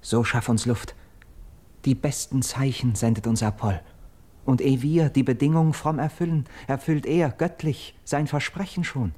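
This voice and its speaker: An actor playing an urgent, persuasive young nobleman of Greek myth in a classical verse radio drama, with declamatory, measured stage diction. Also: medium pitch, dark tone, close to an everyday voice. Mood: resolute, instructing.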